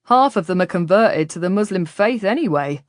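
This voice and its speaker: A woman's voice: mid-range voice, big pitch swings, moderate pace, no echo, clean studio sound, natural voice. Woman doing a brash, cheerful mercenary captain. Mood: proud. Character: cheerful, boastful English privateer mercenary, a man.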